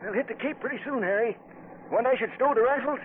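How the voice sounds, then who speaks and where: An actor playing an excitable, whining, folksy boat mate who likes his drink, in 1940s radio drama style. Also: very high-pitched, fast. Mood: eager, peevish. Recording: tinny, noisy 1940s radio broadcast recording.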